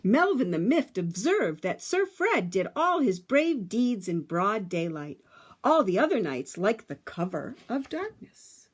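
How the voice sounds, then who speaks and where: A woman reading a picture book aloud to children, with dramatic, emphatic phrasing and drawn-out words. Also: mid-range voice, very animated, breathy edge.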